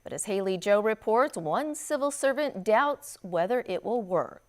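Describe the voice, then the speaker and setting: A woman's voice: mid-range voice, animated, moderate pace. A woman with a mid-range voice reads the news from a script. She speaks as a television anchor.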